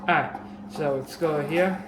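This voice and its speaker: A man with a sore, dry throat and a slightly raspy voice, explaining a game trick in a casual, rambling way full of fillers and restarts, recorded on a camera mic. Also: fairly high pitch, unhurried. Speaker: gaming video creator. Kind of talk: casual game tutorial commentary. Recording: echoey room with background noise.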